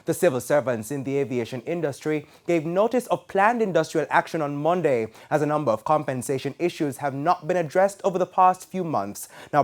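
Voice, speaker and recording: A man with a Jamaican accent reading scripted business news in a formal, measured broadcast delivery. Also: a little high. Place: TV news studio.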